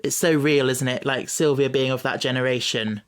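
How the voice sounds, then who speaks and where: Podcast host, man, mid-pitched voice, casual and enthusiastic fan talk with tentative phrasing. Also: steady tone, brisk, fairly bright tone, clear, resonant tone.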